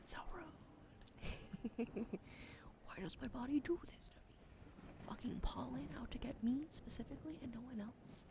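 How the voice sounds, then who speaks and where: A woman's voice: high voice, measured pace, gravelly. A young woman streamer with a wheezy throat, whispering in ASMR style, thanking viewers and swearing casually.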